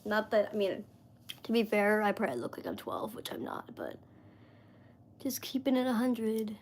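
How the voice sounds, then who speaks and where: A woman's voice: mid-range voice, big pitch swings, normal speed, darkish tone. A woman in her mid-thirties chatting casually on a live stream, rambling with lots of fillers as she talks to viewers. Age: 30s.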